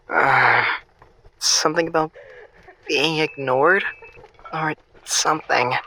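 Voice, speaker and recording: A man plays a mopey jilted boyfriend in an audio drama, slow and hesitant. His voice is bright, with faint noise behind it. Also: a little high, heavily acted. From America. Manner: halting, hesitant, forlorn. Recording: faintly noisy phone-call style.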